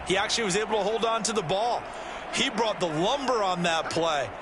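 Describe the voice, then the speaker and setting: A man's voice: high voice, a little fast, background noise, lightly acted. A man playing a seasoned, opinionated ex-player football analyst in a sports video game, explaining plays conversationally. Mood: engaged. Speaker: football color commentator, former defensive back. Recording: commentary over loud game audio.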